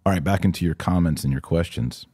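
A man with a very low, dark voice that has a husky grain to it. He talks as a commentary host, opinionated and emphatic, repeating key phrases as he responds to comments. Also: fast talker. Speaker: podcast host and religious commentator. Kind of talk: live commentary answering viewer comments.

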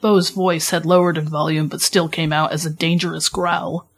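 A woman's voice: low-pitched, flat delivery, moderate pace. A woman reading scripted fan fiction narration as a podcast host. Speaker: podcast host, author reading own story.

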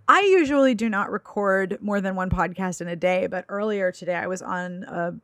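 A woman's voice: mid-range voice, unhurried, no echo. Playful woman podcast host, dry close sound. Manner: playful, wry, expressive. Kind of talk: casual podcast conversation.

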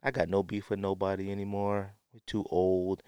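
A man's voice: low voice, flat delivery, moderate pace, a little mellow, clean tone, dry recording. A middle-aged man hosting a podcast in a casual, opinionated monologue, speaking emphatically and repeating phrases for effect. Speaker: podcast host.

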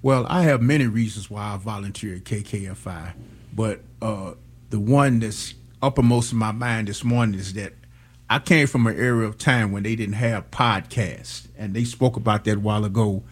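A man's voice: lowish voice, moderate pace, husky. Earnest plain-spoken American man volunteer. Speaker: station volunteer and commentary writer. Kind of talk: casual on-air chat.

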